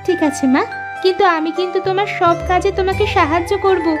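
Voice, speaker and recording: Very high-pitched sweet little daughter. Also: female voice, fairly even intonation, fast, over music. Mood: tender. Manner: earnest, tender, pleading. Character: sweet, devoted, forgiving young village daughter. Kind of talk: Bengali cartoon voice acting.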